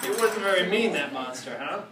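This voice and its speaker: A man's voice: high-pitched, lively intonation, a little fast, crowd noise. A man reading a rhyming picture book aloud, joking playfully between the rhymes. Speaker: reader at a storytime. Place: room with a live audience. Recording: echoey room from far off, noisy.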